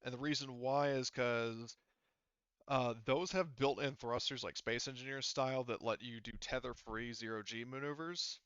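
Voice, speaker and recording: A man's voice: mid-range voice, steady tone, normal speed, fairly bright tone, clean tone, dry recording, muffled recording. A man acting as game master, casually explaining rules to players over an online call and swearing freely. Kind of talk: casual explaining during an online tabletop roleplaying session.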